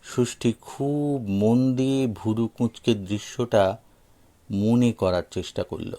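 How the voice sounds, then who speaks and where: A man's voice: mid-range voice, takes it slowly, dark tone. A man reading a scripted story expressively as an audio story narrator.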